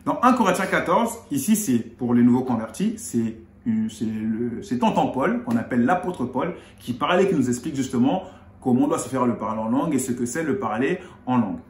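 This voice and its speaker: A man from Congo explaining Bible verses in a relaxed, conversational way, rising in pitch on key points. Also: a little fast. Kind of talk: explaining scripture in casual chat. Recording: echoey room.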